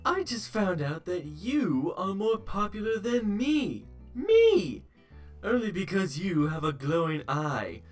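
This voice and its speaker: A man's voice: high voice, huge pitch swings, slow pace, faint music behind, full character voice. A man voicing a vain, dramatic robot celebrity, theatrical and indignant, in a fan comic dub.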